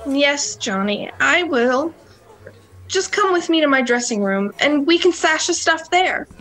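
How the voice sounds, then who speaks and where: An anxious, scheming young woman of the nightclub , acted by a woman. Her voice is very high and lively, with hesitant, melodramatic delivery. Faint music plays under this radio play. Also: measured pace, poor audio. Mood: coaxing, furtive. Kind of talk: amateur radio play acting.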